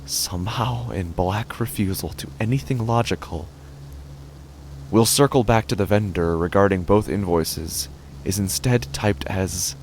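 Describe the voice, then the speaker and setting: A man performing a horror podcast monologue as an obsessive, unravelling office worker, speaking with deliberate, intense pauses. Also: low voice, darkish tone, slight background noise. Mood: agitated, disbelieving. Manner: deliberate, intense, pausing and repeating words. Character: obsessive, increasingly unravelled male office worker narrating his dread. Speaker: horror fiction narrator. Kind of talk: dramatic first-person horror monologue.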